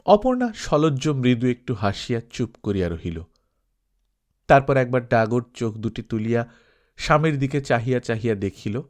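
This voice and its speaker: A man reads literary fiction in an expressive storytelling manner, at a middle pitch. The delivery is scripted narration, shaped like an audiobook. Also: normal speed.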